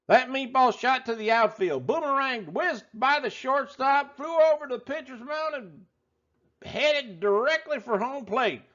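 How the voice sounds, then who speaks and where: A man voicing a folksy, excitable restaurant owner telling a tall tale in a theatrical drawl for a children's storybook. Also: extremely high pitch, a little slow, highs cut off, voice pushed up, exaggerated voice. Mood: folksy, excited. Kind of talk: animated children's storybook read-aloud.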